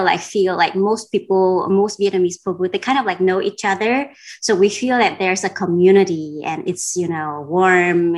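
A woman from Denmark speaking as an interview guest, casual and reflective, often pausing with "you know". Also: mid-range voice.

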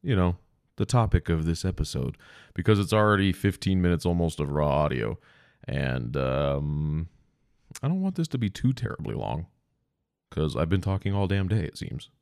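A man's voice: low-pitched, lively intonation, normal speed, creaky, darkish tone, dry recording, studio quality. A congested, sniffly man hosting his own podcast, rambling casually and swearing freely. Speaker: podcast host. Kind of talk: rambling solo podcast chat.

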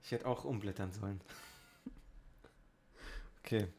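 A man's voice: lowish voice, a little slow, darkish tone. A man co-hosting a podcast in informal, playful chat. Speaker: podcast co-host.

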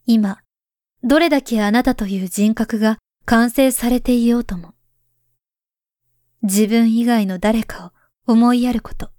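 A solemn woman reading a warning letter aloud in a voice drama, voiced by a woman. Her voice sits fairly high but stays hushed and soft, often slipping toward a whisper. The sound is close and dry. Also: dry recording, close to an everyday voice. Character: grown woman writing to her past self, grave and pleading.

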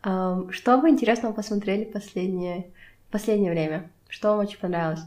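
Woman podcast host, mid-pitched voice, casual questions, slangy with bits of english thrown in. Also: fairly quick, a little mellow. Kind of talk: casual chat, asking questions. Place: in a room at a home.

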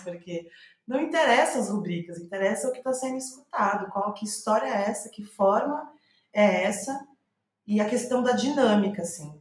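A Brazilian woman giving reflective interview answers as a playwright, thinking aloud and searching for her words. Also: mid-range voice. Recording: roomy, echoing sound.

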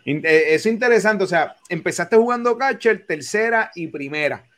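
A man's voice: high-pitched, expressive, normal speed, fairly bright tone. A man hosting a casual interview, chatty and stammering, with many fillers. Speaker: podcast host.

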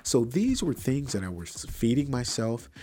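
A man's voice: very animated, normal speed, darkish tone, slight background noise. A man hosting a faith-tinged motivational podcast, earnest and preachy, repeating phrases for emphasis, recorded in an untreated room. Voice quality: bassy voice. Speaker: podcast host. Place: untreated room with wires, not acoustically treated.

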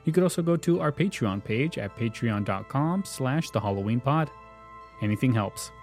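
A man's voice: medium pitch, expressive, normal speed, darkish tone, faint music behind. A man hosting a podcast, talking casually and directly to his listeners. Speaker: podcast host.

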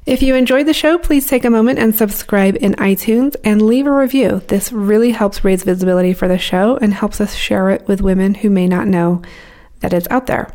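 A woman's voice: medium pitch, moderate pace, mellow. A woman hosting a podcast, asking interview questions and reading announcements in an upbeat way. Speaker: podcast host. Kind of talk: interview and sponsor reads.

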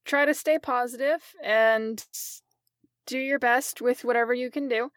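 A woman with a somewhat high voice chats on a voice-acting podcast. She speaks supportively and encourages the other hosts and the listeners. The sound is dry and close to the mic. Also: fairly even intonation, a little slow, a bit bright, no room sound. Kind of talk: casual chat.

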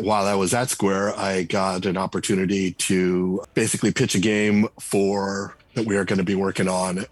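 A middle-aged man, a game writer and designer, talking casually in an interview and telling relaxed stories with frequent restarts. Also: sits low, breathy edge, no room sound. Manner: relaxed storyteller, many restarts and fillers.

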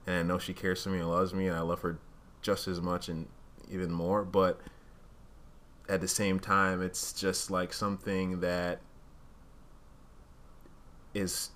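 A man's voice: low-pitched, completely flat delivery, measured pace, pauses often, a bit bright. A man speaking casually and candidly as a podcast co-host, thinking aloud and often hedging as he reasons through his points. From Uganda-born, raised in Rwandan culture.

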